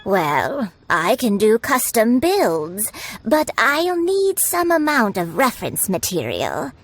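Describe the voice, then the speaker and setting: A woman playing a kindly, weary elderly kite seller in a video game, with a gently folksy manner. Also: on the high side, huge pitch swings, full character voice. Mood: hesitant. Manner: unhurried, gently folksy storytelling. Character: kindly, weary elderly granny who sells kites. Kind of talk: scripted video game character acting. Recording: music behind the voice. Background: game music.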